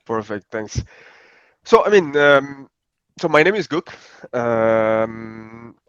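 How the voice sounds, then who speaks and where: A man from Italy, a podcast guest, talking in a relaxed, rambling way with many fillers. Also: medium pitch, slow, smooth, no echo. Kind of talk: conversational podcast interview. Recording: dry, dull lo-fi sound.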